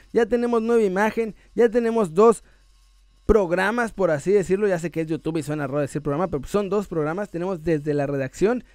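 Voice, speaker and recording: High-voiced Mexican man chatting to viewers. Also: a little mellow, clean tone, no echo, clean studio sound. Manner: playful, joking, drawn-out emphasis on words. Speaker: host of a sports news video channel. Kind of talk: casual chat to viewers, reading out messages and shout-outs.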